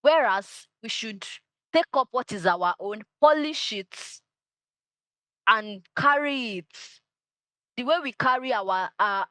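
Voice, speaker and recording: A woman's voice: a little high, expressive, takes it slowly, fairly bright tone, no room sound, low-quality recording. Passionate woman, dry sound. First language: Yoruba. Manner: passionate, emphatic, repeats key phrases. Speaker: guest, culture advocate. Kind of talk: interview answers.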